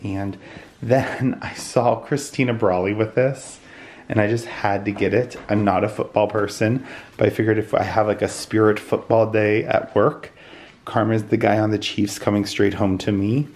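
A man's voice: lowish voice, steady tone, normal speed, darkish tone, slight background noise. An American man in his thirties chatting casually in a vlog, chatty, his voice congested and giving out from a cold. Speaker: vlogger. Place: indoors at home. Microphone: handheld phone or camera at arm's length.

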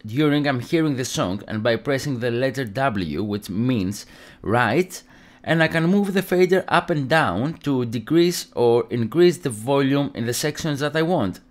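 Greek-accented man giving a halting tutorial. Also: medium pitch, animated. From Greece. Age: young adult. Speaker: tutorial presenter. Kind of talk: instructional tutorial narration.

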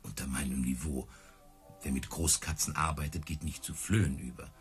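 An actor playing an earnest, easily hurt big-cat tamer in love, in a radio play. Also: male voice, rumbling low, fairly even intonation, normal speed, faint music behind. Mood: earnest, defensive.

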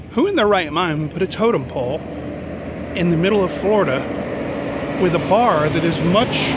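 A man in his forties hosting a vlog, joking his way through an outdoor visit, filmed with a handheld camera at arm's length beside a busy road. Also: sits high. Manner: playful, mock-dramatic, wisecracking. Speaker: vlog host. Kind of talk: jokey exploring vlog. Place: outdoors by a road, overcast and drizzly. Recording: outdoor traffic noise behind. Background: passing cars.

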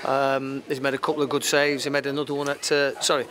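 A man in his fifties giving an unscripted post-match football interview, matter-of-fact and halting, repeating phrases as he goes. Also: medium pitch, flat delivery, crowd noise. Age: 50s. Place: outdoors at a football ground at night. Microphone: handheld interview microphone. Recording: clear outdoor background noise.

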